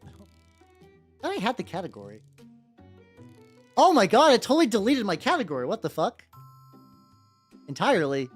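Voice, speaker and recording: Rambling, animated streamer over soft music. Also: medium pitch. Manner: rambling, stammering, repeats words mid-sentence; irreverent, sweary. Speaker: livestreamer. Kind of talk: casual livestream chat while painting miniatures. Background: background music.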